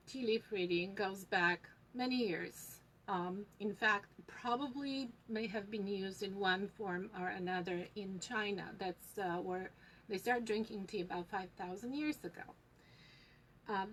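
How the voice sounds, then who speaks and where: Slow, unhurried woman with a Polish accent. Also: medium pitch, fairly bright tone, a little hiss or hum, low-quality recording. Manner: unhurried, many fillers and pauses. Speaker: tea shop host teaching tea leaf reading. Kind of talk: live tutorial with viewers.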